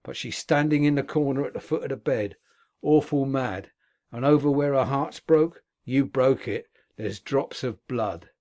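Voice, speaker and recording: A man's voice: mid-range voice, normal speed, a little mellow, lightly acted. A man voicing a dying, terrified man who raves and pleads in panic. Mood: frantic terror. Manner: frantic, raving, pleading.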